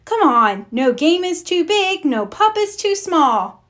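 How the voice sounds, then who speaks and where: Woman voicing a keen, animated coaching pup. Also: very high-pitched, takes it slowly, voice pushed up, heavily acted. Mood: encouraging. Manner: rallying, supportive. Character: keen, encouraging young male police pup, acting as coach.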